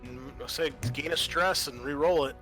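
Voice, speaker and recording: A man's voice: fairly high pitch, normal speed, bright, forceful tone, soft background music. A man acting as game master for a tabletop roleplaying game, explaining rules and narrating the action casually and with animation. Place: group game session.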